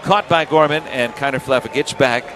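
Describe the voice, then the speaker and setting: A man with an American accent calling live baseball play-by-play on the radio in clipped phrases with pauses between pitches. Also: a crowd in the background, background noise.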